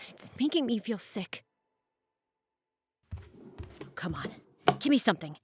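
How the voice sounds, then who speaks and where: A woman's voice: fairly high pitch, sing-song, a little fast, a little hiss or hum. A woman playing a distraught, suspicious, hot-tempered young stepdaughter in an audio drama, emotionally raw and confrontational. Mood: worried, frustrated.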